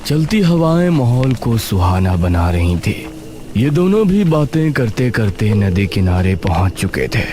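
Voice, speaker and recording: A man's voice: on the low side, very animated, unhurried, noisy background. A man narrating a scripted horror story in a dramatic storytelling style. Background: music.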